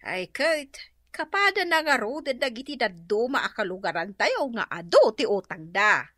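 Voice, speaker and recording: An easily scandalized Ilocano gossip for radio comedy. She exclaims and questions in a high, animated voice, recorded dry. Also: moderate pace, dry recording, exaggerated voice.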